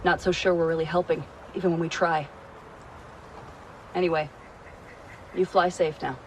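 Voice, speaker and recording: An actor playing a weary, reflective pilot from a desert homeland, calm and frank, in a video game cutscene. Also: male voice, a little high, normal speed, slight background noise, natural voice, poor audio. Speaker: game character. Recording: game cutscene with loud music.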